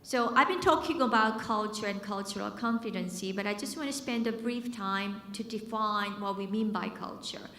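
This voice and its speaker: A woman's voice: mid-range voice, moderate pace, hardly any pauses, sharp tone. A Korean-born woman in her fifties, a business school dean, giving a conversational conference talk with a Korean accent mixed with American and British sounds, with fillers and repeated words. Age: 50s. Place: conference hall. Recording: echoey hall with long reverb.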